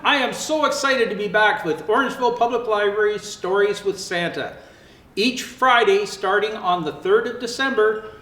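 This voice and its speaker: A man plays a jolly old Santa Claus reading a children's storybook. His voice sits high and swoops with theatrical expression. He takes his time, and the room adds a clear echo. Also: fairly bright tone. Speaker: storyteller reading picture books aloud. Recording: roomy echo, faint background noise.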